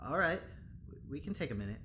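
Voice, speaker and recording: Animated, easygoing, flustered lab assistant. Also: male voice, a little high, fast talker, background noise. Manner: casual, animated, hesitant. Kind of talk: audio drama acting.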